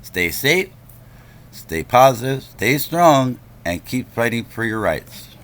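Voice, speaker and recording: A man's voice: mid-range voice, very animated, slow pace, fairly bright tone. A man making a complaint on a phone call, speaking in an insistent, confrontational, lecturing manner.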